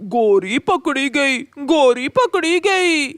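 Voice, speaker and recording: A woman's voice: sits high, expressive, takes it slowly. A woman voicing an earnest, anxious male crow, a devoted groom in a children's cartoon. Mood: alarmed. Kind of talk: children's cartoon character acting.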